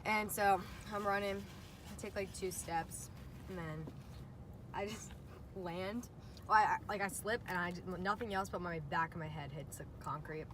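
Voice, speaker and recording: A young woman telling personal stories in a casual, animated, giggly way while eating inside a parked car. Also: lowish voice, moderate pace. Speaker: guest vlogger. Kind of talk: casual chat and personal storytelling. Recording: distant mic with vehicle noise.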